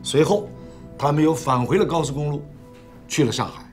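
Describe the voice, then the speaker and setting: A man's voice: a little high, brisk, darkish tone, natural voice. A man playing a serious middle-aged official in a film drama, briefing colleagues formally in emphatic, clipped phrases. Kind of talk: scripted case briefing, film acting. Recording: faint music behind.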